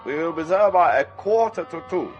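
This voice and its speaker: An actor playing a matter-of-fact taxi driver with a German accent in an audio drama. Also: male voice, on the high side, animated, normal speed, highs cut off, over music, natural voice.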